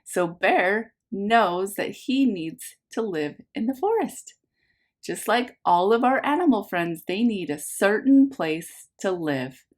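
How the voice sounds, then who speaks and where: Animated, slow-paced woman reading to small children. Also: medium pitch. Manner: animated, sing-song, simple phrasing addressed to small children. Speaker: preschool teacher reading a picture book aloud. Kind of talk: children's read-aloud with questions to young listeners.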